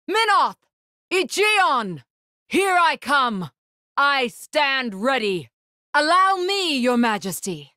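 A woman voicing a proud, composed, regal warrior spirit in a video game, with formal, commanding, measured diction. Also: high voice, sharp tone, no echo, clean studio sound, full character voice. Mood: fierce, commanding. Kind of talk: video game battle lines.

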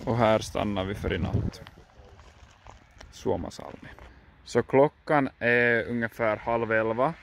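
A man's voice: lowish voice, gravelly, long pauses. A young man with a Finland Swedish accent, chatting casually for a vlog outdoors into a handheld camera. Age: young adult. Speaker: vlogger. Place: outdoors by lakes and in forest. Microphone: handheld camera microphone. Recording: windy outdoor action-camera sound, loud noise.

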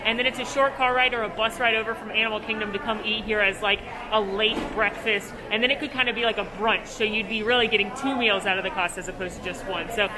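A woman's voice: medium pitch, steady tone, fast, few pauses, low-quality recording. A young woman with an upbeat, gushing, chatty manner, giving a casual food review as a vlogger. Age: young adult. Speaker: food and theme park vlogger. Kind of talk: enthusiastic casual vlog review and food tour. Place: large buffet restaurant, indoors. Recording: busy restaurant crowd noise. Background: crowd chatter.

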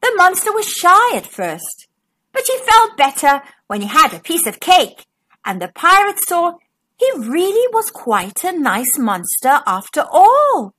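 A woman reading a children's story as narrator and host, with expressive, dramatic emphasis. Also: very high voice, measured pace. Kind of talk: scripted read-aloud for young children.

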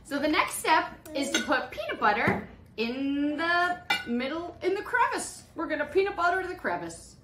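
Lady doing a toddler cooking show, bright sing-song voice, roomy echo w some noise. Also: high voice, very animated, moderate pace. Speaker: preschool teacher hosting a children's cooking class. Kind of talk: child-directed cooking demonstration. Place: small indoor playroom kitchen set. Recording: echoey room with some background noise.

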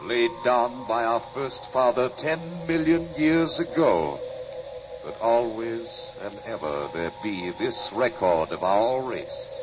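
An actor playing the solemn last survivor of a peaceful race in a 1950s radio drama, reverent and archaic in delivery. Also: male voice, medium pitch, animated, takes it slowly. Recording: muffled old radio recording with music.

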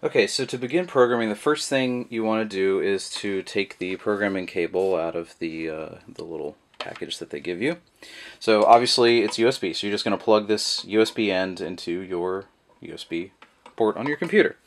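A man's voice: lowish voice, normal speed, a little hiss or hum. A man giving a casual, rambling how-to demonstration of a product, full of fillers, his voice picked up by the camera mic at a table indoors. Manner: relaxed, rambling with many fillers. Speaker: product reviewer.

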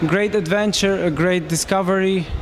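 A man in his thirties from Romania, speaking English, answering interview questions into a handheld mic in a small room, with halting phrasing and frequent restarts. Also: high-pitched, fairly even intonation. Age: 30s. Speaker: marathon runner interviewee.

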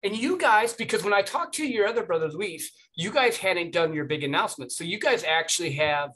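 Bright, higher-pitched man hosting casually. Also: animated, a little fast, poor audio. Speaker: podcast host. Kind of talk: casual interviewing. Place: small room indoors by a window, on a video call.

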